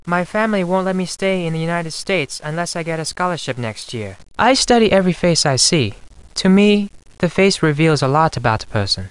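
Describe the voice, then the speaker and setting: Earnest, higher-pitched young male exchange student played by a man. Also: smooth, no echo, natural voice. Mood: ashamed, pleading. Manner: polite, formal, earnest. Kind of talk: scripted video game dialogue.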